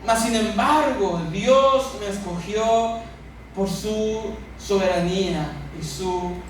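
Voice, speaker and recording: A man with a Mexican accent preaching a sermon as a pastor, speaking emphatically with repeated phrases and pauses for effect. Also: high-pitched. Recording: echoey church hall, distant mic, noisy.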